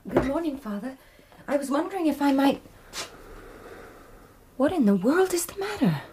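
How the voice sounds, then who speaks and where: An actress playing an anxious, gentle young frontier daughter in a radio drama. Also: female voice, high-pitched, big pitch swings, normal speed, background noise. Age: young adult.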